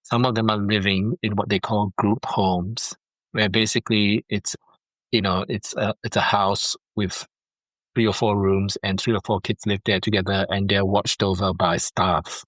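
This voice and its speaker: Foster dad guest from Singapore, middle-aged, earnest, repeats himself, lower voice, dry call audio. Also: male voice, level tone, normal speed, fairly bright tone, dry recording, low-quality recording. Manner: earnest, repeats words while thinking. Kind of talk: heartfelt interview over a call. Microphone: video call.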